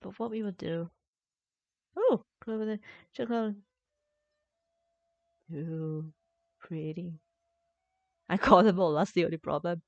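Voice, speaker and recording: A woman's voice: fairly low pitch, slow, vocal fry, pauses often, darkish tone, dry recording. A woman streaming a video game with casual, rambling commentary, half talking to herself. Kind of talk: casual live gameplay commentary. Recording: dry close sound, slightly dull band.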